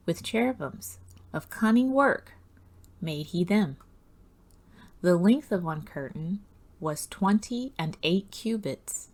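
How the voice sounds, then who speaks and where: A woman reads scripture aloud in a devotional audiobook style. Her voice sits a little low, with plenty of creaky fry at the edges. She takes her time over each phrase, measured and solemn. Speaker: host reading scripture aloud.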